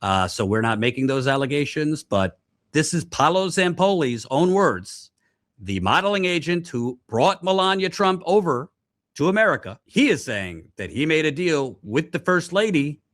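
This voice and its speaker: Man giving deliberate news commentary. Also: a little high, sing-song, fairly bright tone, dry recording, studio quality. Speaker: host, commentator. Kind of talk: news commentary to camera, reading out quotes.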